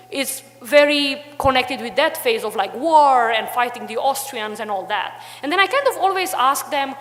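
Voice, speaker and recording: A woman's voice: high voice, a bit bright, long reverb tail. Chatty woman speaking at a conference. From Romania, Transylvania. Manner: chatty, many fillers like "like" and "you know". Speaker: conference panelist, history teacher. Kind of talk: conference talk. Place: hotel conference room.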